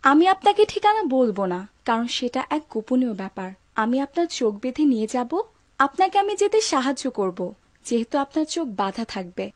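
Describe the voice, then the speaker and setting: A woman's voice: sits high, very animated, brisk. A woman playing a polite, resolute young servant in a cartoon folk tale, courteous and composed. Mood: firm, secretive. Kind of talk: cartoon dub acting.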